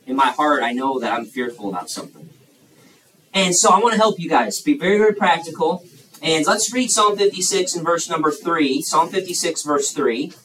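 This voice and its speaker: Man in his thirties, preacher, chatty folksy sermon, slightly high pitch, roomy echo, faint hiss. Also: lively intonation, normal speed, fairly bright tone. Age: 30s. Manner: folksy, chatty, many fillers and restarts. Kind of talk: youth sermon with audience questions. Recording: echoey room, mic far off, faint hiss.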